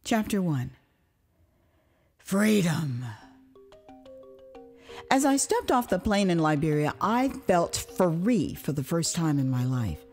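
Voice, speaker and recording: A woman from the United States narrating her own memoir as an audio drama, with expressive, theatrical delivery, acted asides and dramatic pauses. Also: on the low side, faint music behind. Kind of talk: dramatized first-person storytelling.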